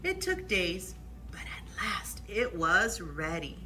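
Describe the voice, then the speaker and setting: A woman reads a picture book aloud for children in a very bright, crisp-edged voice. Her pitch is lively and she takes her time, pausing for little asides on the pictures. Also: slight background noise. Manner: expressive, pauses to point out pictures. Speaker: library storytime reader.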